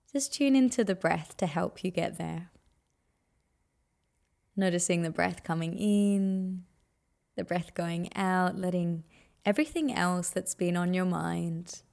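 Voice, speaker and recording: Female meditation guide: very slow, soothing delivery with a gravelly fry at the edges. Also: fairly low pitch, a little mellow. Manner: soothing, unhurried instruction with pauses.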